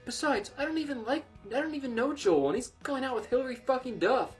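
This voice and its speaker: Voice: high-pitched, expressive, quick pace, over music, voice pushed up. A podcaster voicing a dramatic, sweary teenage goth girl from fan fiction, gushing and catty. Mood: catty disgust.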